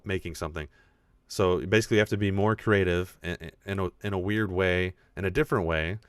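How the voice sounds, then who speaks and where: A man with a deep, low voice talks casually on his own podcast. He gets animated and thinks aloud, often restarting his sentences. The sound is dry, with no room echo. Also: clean tone. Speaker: podcast host. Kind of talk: casual live podcast talk.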